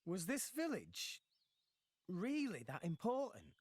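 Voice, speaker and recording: A man's voice: high voice, huge pitch swings, slow, darkish tone, breathy edge, no echo, heavily acted. A man playing an earnest, curious teenage boy in a video game, questioning in a puzzled way.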